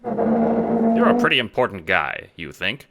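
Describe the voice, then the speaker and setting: A man narrating a fan audio drama in a dramatic, measured noir style. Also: fairly high pitch, huge pitch swings, gravelly, very noisy. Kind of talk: second-person noir narration.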